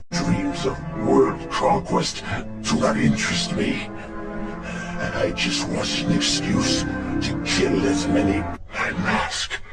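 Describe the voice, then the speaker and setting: A man voicing a bitter, murderous masked villain in a menacing, dramatic way. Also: medium pitch. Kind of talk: dramatic character voice acting. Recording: echoey, distant, loud music behind.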